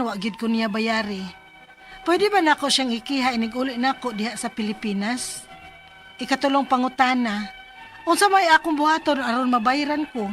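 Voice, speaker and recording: A woman's voice: a little high, fairly bright tone, natural voice. A woman playing a distressed overseas worker in her thirties reading out her plea for advice in a radio drama, with a Cebuano accent and a plaintive, aggrieved manner. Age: 30s. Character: distressed thirty-year-old Filipina overseas worker writing for legal advice.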